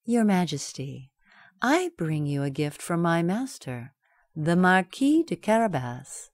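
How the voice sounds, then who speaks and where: A woman's voice: fairly low pitch, sing-song, takes it slowly, no echo, heavily acted. A woman voicing a clever scheming male cat who speaks with courtly politeness, in a storybook reading.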